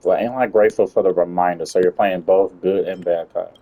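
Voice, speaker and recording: A man's voice: deep voice, fairly even intonation, a little fast, dark tone, dull audio. A man giving casual gameplay commentary in a wry, slangy, informal way. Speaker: gamer reacting to a game's story.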